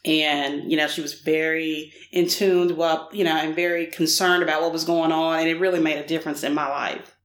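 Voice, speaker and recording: A woman's voice: deep voice, level tone, normal speed. Middle-aged woman, candid, in an echoey room. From Nashville, Tennessee. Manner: frequent fillers, thoughtful and candid. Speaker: guest. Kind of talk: casual interview answers. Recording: roomy echo.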